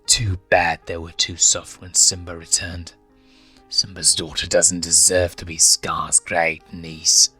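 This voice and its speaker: A scheming, ruthless lioness matriarch from a storybook reading, acted by a man. She commands with emphatic, proud oratory. The voice is low and intense, with music beneath it. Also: flat delivery, slow pace, sharp tone, slightly rough, full character voice. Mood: fierce, proud. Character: ruthless, scheming lioness mother, driven by revenge.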